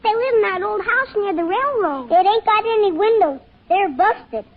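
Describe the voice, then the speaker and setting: A woman's voice: very high voice, expressive, normal speed, crowd noise. A woman playing an innocent, inquisitive six-year-old boy in an old-time radio drama. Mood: innocent, eager. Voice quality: childlike. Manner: naive, questioning, stubborn when crossed. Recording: dull, noisy old radio recording.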